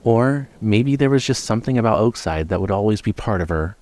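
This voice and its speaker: A man's voice: on the low side, lively intonation, fairly quick, darkish tone. A man narrating a scripted horror story as the storyteller of an audio drama.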